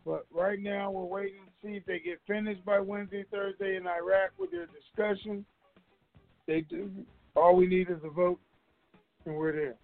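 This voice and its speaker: A man speaks over a dull, narrow conference-call line with very little room sound. His voice sits a little high. He hosts informally, rambling along in a conversational way as he addresses the listeners. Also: lively intonation, normal speed, gravelly, no room sound.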